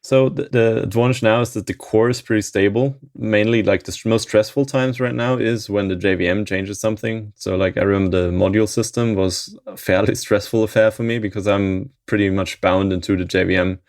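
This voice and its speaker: A man in his thirties, a software maintainer being interviewed, talking in a thoughtful way and chuckling often mid-sentence. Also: fairly low pitch, steady tone, a little fast, few pauses, no room sound, clean studio sound. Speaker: open source maintainer, interview guest. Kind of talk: casual interview chat.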